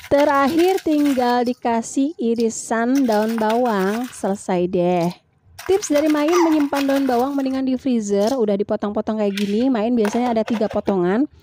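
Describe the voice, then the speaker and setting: Higher-pitched Indonesian mom vlogger, mellow voice. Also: female voice, moderate pace, slight background noise. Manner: chatty, colloquial. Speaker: mother and home vlogger. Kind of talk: casual vlog narration.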